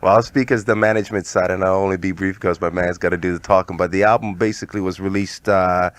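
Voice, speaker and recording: A man's voice: low voice, steady tone, fast talker, clean tone. A man speaking as an artist's manager in a radio interview, brief and businesslike. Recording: 1990s FM radio studio.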